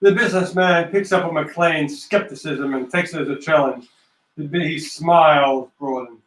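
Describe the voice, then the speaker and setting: A man's voice: on the high side, moderate pace, fairly bright tone. A man narrating a script aloud, reading out stage directions. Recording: echoey room, distant mic.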